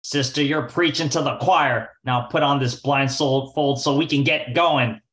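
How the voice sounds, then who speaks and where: A man's voice: mid-range voice, steady tone, unhurried, fairly bright tone, close to an everyday voice. A man playing a tough, slangy 1940s kidnapper in an old-time radio crime drama, with a menacing hard-boiled delivery. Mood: menacing, wry.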